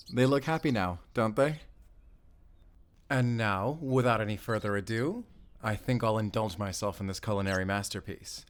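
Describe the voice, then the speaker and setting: A man's voice: lowish voice, close to an everyday voice. Chatty, friendly forest ranger guy, acted by a man. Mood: warm, amused. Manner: chatty, rambling, eager, with bashful hesitations. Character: friendly, talkative, excitable young male forest ranger. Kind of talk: audio roleplay acting addressed to the listener.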